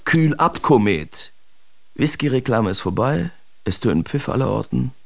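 Brash, gravelly Dadaist artist. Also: male voice, mid-range voice, animated, normal speed, slight background noise. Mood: rowdy, raucous. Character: brash, mocking male Dadaist artist reciting diaries and memoirs. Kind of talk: expressive literary radio drama recitation.

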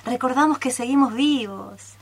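A woman's voice: on the high side, normal speed, slight background noise, natural voice. A woman playing a hopeful, nostalgic teacher in an amateur radio play. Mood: hopeful, earnest. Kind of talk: amateur radio theatre acting.

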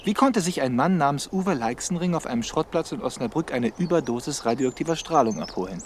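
A man's voice: a little high, lively intonation, fairly quick, a little hiss or hum, natural voice. A man playing a stubborn, probing police detective with a dryly sardonic manner in a naturalistic radio drama. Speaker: actor in an audio drama.

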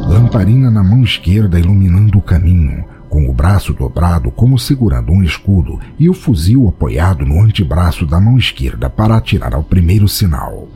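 A male narrator tells a dramatic story in a Brazilian accent. His voice is very deep and soft-toned, with lively swings of intonation, and music plays behind it. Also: fairly quick, hardly any pauses.

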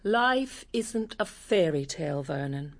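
An actress playing a worldly, frank bohemian singer in a radio drama, with a British accent and a wry, direct manner. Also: female voice, sits low, lively intonation, takes it slowly, full character voice. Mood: worldly, urging.

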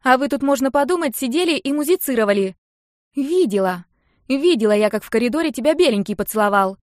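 A woman's voice: on the high side, lively intonation, brisk, no room sound, clean studio sound, voice pushed up, close to an everyday voice. A woman voicing a cheeky, scrappy schoolgirl little sister who complains in whiny, bratty slang, in an audiobook. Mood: taunting.

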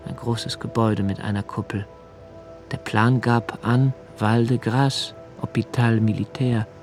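An actor playing an anxious, observant young poet narrating a radio play in a slow, hushed, intimate way, sometimes sinking to a near whisper. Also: male voice, mid-range voice, level tone, faint music behind, lightly acted. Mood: brooding. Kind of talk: literary radio drama reading.

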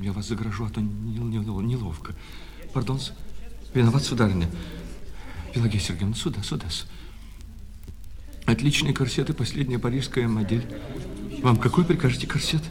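An actor in a radio play voicing a lovesick shop clerk who swings between polite sales patter and bitter reproach. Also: male voice, medium pitch, normal speed, long pauses, a little mellow, close to an everyday voice. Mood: flustered, covering up. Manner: servile sales patter alternating with reproachful, insistent talk. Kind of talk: Russian radio play of a classic short story. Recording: long reverb with music behind.

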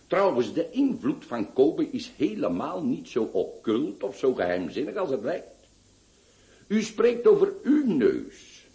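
An actor playing a pompous, eccentric doctor who lectures and scolds grandly in a radio play. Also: male voice, fairly high pitch, huge pitch swings, measured pace, dark tone, full character voice. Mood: lecturing. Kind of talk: radio drama acting.

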